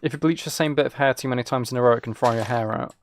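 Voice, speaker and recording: A man's voice: mid-range voice, rapid-fire, dry recording. A British man streaming a game and chatting casually with viewers, musing aloud in an informal, rambling way. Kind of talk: casual chat while playing a game.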